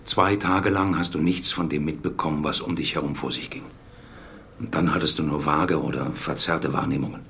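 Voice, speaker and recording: Deep, gravelly middle-aged doctor. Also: male voice, steady tone, moderate pace, pushed and bright, slight background noise, heavily acted. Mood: earnest, explanatory. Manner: explanatory, admonishing. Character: middle-aged male doctor, brisk, paternal friend of the patient. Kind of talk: radio drama dialogue.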